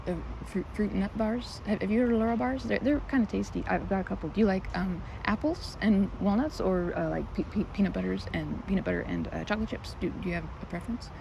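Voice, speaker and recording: A woman's voice: medium pitch, quick pace, hardly any pauses, close to an everyday voice. A woman playing a timid, flustered young woman in an ASMR roleplay, stammering and hesitant, rambling self-effacingly. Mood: shy, friendly. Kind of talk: ASMR roleplay, one-sided conversation. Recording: clearly noisy outdoor ambience.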